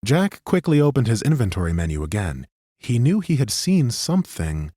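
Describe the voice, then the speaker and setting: A man narrating a fantasy audiobook in a measured, even way. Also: mid-range voice, dry recording, clean studio sound. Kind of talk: scripted narration.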